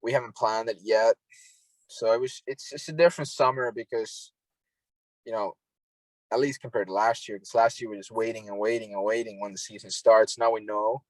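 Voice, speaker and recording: A man's voice: lowish voice, steady tone, fairly quick, dry recording, poor audio. A young man from Finland with a Finnish accent, chatting casually as a podcast guest, laid-back and agreeable, with lots of "you know.". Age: young adult. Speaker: podcast guest, hockey prospect. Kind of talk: casual interview chat. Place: home in Finland.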